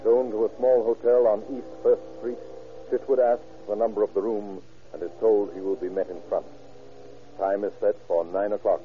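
Man as dramatic radio crime narrator, low and serious, very tinny vintage recording. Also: little pitch movement, normal speed, soft background music.

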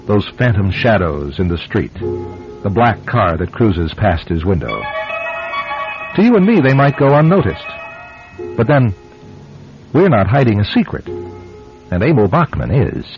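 Deep, ominous radio storyteller. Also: male voice, big pitch swings, over music. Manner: deliberate, dramatic, suspenseful pauses. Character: ominous male storyteller of a suspense series. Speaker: narrator host. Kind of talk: old-time radio suspense narration. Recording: dull lo-fi old radio recording.

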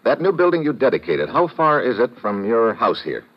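An actor playing a tough, wisecracking detective in old-time radio drama, with clipped, slangy hard-boiled talk. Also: male voice, mid-range voice, sing-song, moderate pace, natural voice. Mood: probing. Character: tough wisecracking male detective, dogged and wry. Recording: dull vintage radio recording.

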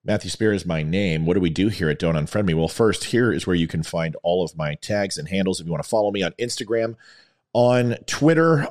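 Male commentary host, lower register, lightly worn and scratchy, emphatic theatrical delivery. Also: a little mellow. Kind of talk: opinion commentary monologue to an audience.

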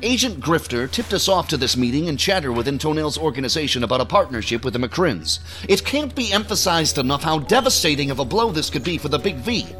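An officious federal agent in an audio drama, with a fairly high male voice that swings in pitch. He speaks in formal, measured phrases with a pompous, easily irritated edge, over music.

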